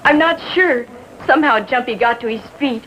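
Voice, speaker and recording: An American woman playing a distraught, lovestruck sixteen-year-old girl in a 1950s TV drama, speaking in short, halting sentences. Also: fairly high pitch, a bit bright, dull audio, natural voice. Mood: shaken recounting. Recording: faintly noisy vintage TV soundtrack.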